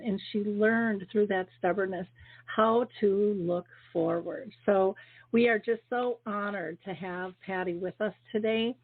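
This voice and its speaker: A woman hosting an interview show, chatty and filling pauses with "um" and "you know". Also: mid-range voice, slightly rough. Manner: chatty, full of fillers and warm thanks. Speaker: podcast host. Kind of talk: casual interview chat. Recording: phone-like video call audio.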